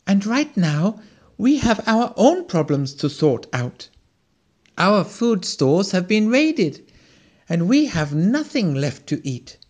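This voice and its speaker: A storyteller voicing a curious, excitable male squirrel who exclaims his lines in a storybook reading. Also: slow pace. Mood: excited. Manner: exclaiming, high-strung.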